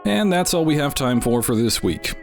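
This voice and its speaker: Man, medium pitch, show host, scripted narration, dry tongue-in-cheek delivery, music bed behind. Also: sing-song, normal speed, vocal fry. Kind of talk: scripted narration, wry commentary. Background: background music.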